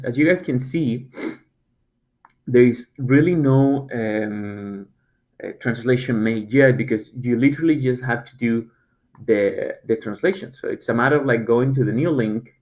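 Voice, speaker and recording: Man, mid-pitched, hesitant and casual tutorial delivery, slightly muffled recording. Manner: casual, halting, many fillers and restarts. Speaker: web design company owner. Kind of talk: screen-recorded software tutorial. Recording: slightly dull, lo-fi sound.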